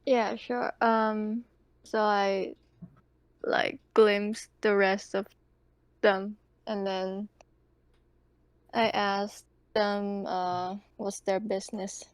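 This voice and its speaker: A woman's voice: mid-range voice, level tone, clear, resonant tone, no echo. A woman playing a tabletop roleplaying game, speaking hesitantly as she improvises dialogue and narration. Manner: hesitant, halting delivery.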